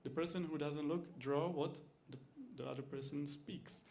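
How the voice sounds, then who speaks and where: Czech-accented man, gravelly voice. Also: a little high, steady tone, a little mellow. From Czech Republic. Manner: halting, many fillers and restarts in second-language English. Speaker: university lecturer. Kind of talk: teaching demonstration talk.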